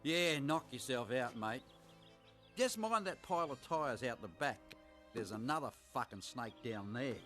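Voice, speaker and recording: An actor playing a gruff, foul-mouthed but laid-back rural uncle with an Australian accent in a film comedy-drama. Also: male voice, medium pitch, lively intonation, unhurried, a bit bright, soft background music. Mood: blunt, casual. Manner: blunt, sweary, matey slang.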